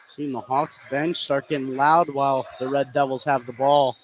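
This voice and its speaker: Male color commentator, mid-pitched, analysing live basketball plays on radio over clear gym noise. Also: steady tone, moderate pace. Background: gym ambience with bouncing basketballs.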